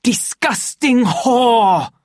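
A woman voicing a wild, agitated young man in a dramatised audiobook, with forceful, urgent delivery. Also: on the high side, animated, extremely slow, dry recording, studio quality, voice pushed up, full character voice. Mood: furious. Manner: shouted, forceful, urgent exclamations.

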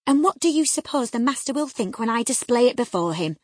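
A severe housekeeper scolding a girl with open scorn, put on by a woman reading. Her voice is slightly husky and raised. The sound is close and dry. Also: medium pitch, expressive, a little slow, no echo. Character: stern, scornful older housekeeper.

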